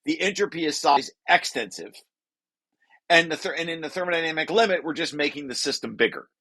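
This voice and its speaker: A man reading aloud from a technical paper and explaining it informally, halting and correcting himself as he repeats words. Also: a little high, bright, forceful tone, dry recording. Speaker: presenter and paper author.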